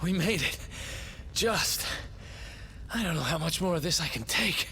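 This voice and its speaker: A man's voice: a little high, expressive, measured pace, bright, forceful tone, a little hiss or hum, exaggerated voice. An actor voicing a cocky young male thief in a game cutscene, full of sarcastic quips. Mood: wry. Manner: quippy, sarcastic asides. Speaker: game character. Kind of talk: video game cutscene voice acting.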